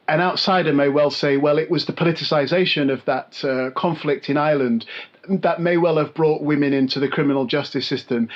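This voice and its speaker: A man hosting a podcast interview, reading a formal introduction and asking measured questions. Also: fairly high pitch. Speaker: podcast host. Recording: dull lo-fi audio.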